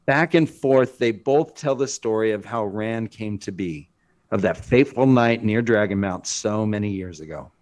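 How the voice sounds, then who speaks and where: Casting: male narrator, fairly low voice, reading scripted scene directions for a drama table read. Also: moderate pace, darkish tone.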